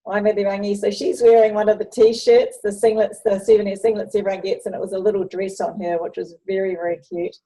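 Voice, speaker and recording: A woman's voice: medium pitch, flat delivery, fast, hardly any pauses, mellow, rough recording. A woman from New Zealand with a New Zealand accent, hosting an interview while showing slides and chatting with frequent ums. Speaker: host presenting a netball travel program.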